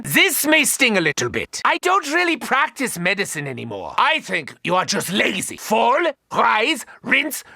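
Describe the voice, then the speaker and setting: An actor playing an eccentric, haughty German mad scientist doctor in a video game, theatrical and grandiose, with a German accent. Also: male voice, extremely high pitch, vocal fry, sharp tone, no echo, clean studio sound, exaggerated voice. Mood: wry, uneasy. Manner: theatrical, grandiose, self-important. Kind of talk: video game character quotes.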